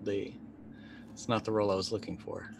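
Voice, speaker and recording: A man's voice: lowish voice, level tone, moderate pace, creaky. A middle-aged man playing a tabletop roleplaying game, chatting casually and wryly, often restarting his sentences. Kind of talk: casual, improvised game chat. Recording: faint background noise and ambience.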